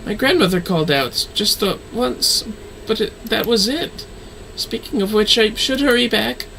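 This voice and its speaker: A man voicing an earnest young fantasy maiden from a game, formal and quietly defiant. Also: sits high, lively intonation, unhurried, vocal fry, distant mic, slight background noise, voice pushed up, heavily acted, rough recording. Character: timid, earnest young fantasy maiden defending her grandmother.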